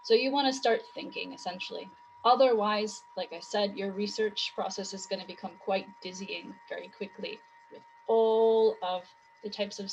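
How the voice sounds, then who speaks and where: A woman's voice: mid-range voice, vocal fry, a little hiss or hum, rough recording. A woman writing tutor, losing her voice, explaining in long run-on sentences full of rhetorical questions. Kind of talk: conversational teaching talk.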